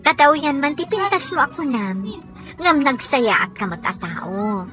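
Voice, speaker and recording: A woman's voice: sits high, sing-song, unhurried, over music. A woman acting as a practical young working woman chatting with a friend in a scripted Ilocano radio drama. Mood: matter-of-fact.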